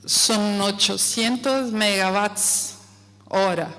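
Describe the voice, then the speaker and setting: A woman from Brazil with a Brazilian Portuguese accent, speaking Spanish as a second language in an audience question, halting and searching for words. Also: mid-range voice, steady tone, pushed and bright. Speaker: audience member asking a question. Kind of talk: spontaneous remarks in a lecture Q&A. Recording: echoey hall, distant mic, noisy.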